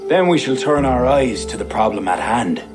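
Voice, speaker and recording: An actor playing a composed, authoritative Irish High King, speaking formally and with measure. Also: expressive, normal speed, lightly acted. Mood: resolute. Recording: music under dialogue.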